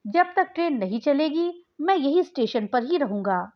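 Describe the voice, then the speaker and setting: A woman's voice: high voice, expressive, normal speed. A woman voicing an earnest young male ticket seller in a story, with a polite, pleading and reassuring manner. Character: earnest, persuasive young man selling his spare train ticket. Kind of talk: storybook character dialogue. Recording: dull, lo-fi sound.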